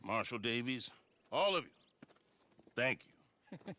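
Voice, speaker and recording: A man's voice: mid-range voice, sing-song, slow pace, pushed and bright, heavily acted. An actor playing a proud, formal Old West man in a Western video game, with a stiff delivery. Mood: humbled. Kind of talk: video game cutscene acting. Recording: clear background noise.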